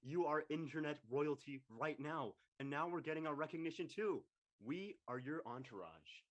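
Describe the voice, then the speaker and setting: A man's voice: on the high side, animated, normal speed, close to an everyday voice. A man playing an upbeat, laid-back teenage boy, a casual, encouraging best friend in a comedy drama. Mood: excited. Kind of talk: comedic scripted audio drama dialogue.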